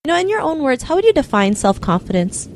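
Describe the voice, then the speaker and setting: A woman's voice: high-pitched, very animated, fast, a little hiss or hum. A woman hosting a podcast in an upbeat interviewing style. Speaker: podcast host.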